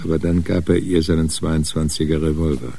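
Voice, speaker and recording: Deep gravelly man narrating a crime radio play. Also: flat delivery, brisk. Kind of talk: scripted narration, literary crime radio drama. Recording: clearly noisy background.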